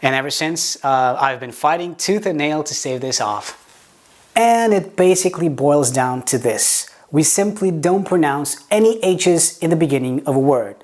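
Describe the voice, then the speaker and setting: A man whose first language is Portuguese, speaking English with a Portuguese accent, teaching pronunciation in a video lesson and demonstrating words slowly. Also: a little high, expressive, darkish tone. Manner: explains with examples, slow deliberate demonstration words, some stammered restarts.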